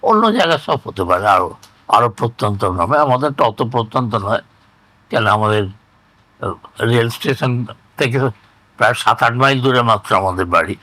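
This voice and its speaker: An elderly man in his eighties or older with a West Bengali accent, recalling village life in a halting, rambling, conversational way and often repeating phrases. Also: mid-range voice, animated, pushed and bright, dry recording, poor audio. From rural West Bengal, India. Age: 80s or older. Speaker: interviewee recounting oral history. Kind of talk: conversational reminiscence.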